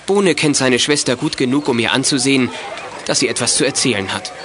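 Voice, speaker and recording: A man's voice: mid-range voice, a little fast, fairly bright tone, some chatter behind, natural voice. A man reads scripted, matter-of-fact narration for a children's detective audio drama. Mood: narrating. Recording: clearly noisy old audio play recording.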